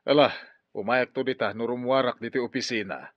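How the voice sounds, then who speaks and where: A man's voice: mid-range voice, fairly quick, no room sound, close to an everyday voice. A man playing an anxious, well-meaning, troubled man in a radio drama. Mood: concerned. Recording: dull, dry studio sound.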